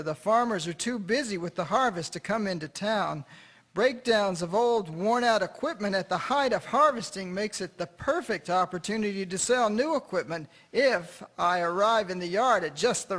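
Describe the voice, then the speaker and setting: Male actor as genial small-town dealer, high voice, courteous old-fashioned manner, radio drama. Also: animated, normal speed, fairly bright tone, heavily acted. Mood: fond. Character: cheerful, genial small-town machinery dealer, devoted to his horse. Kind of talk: period radio drama acting.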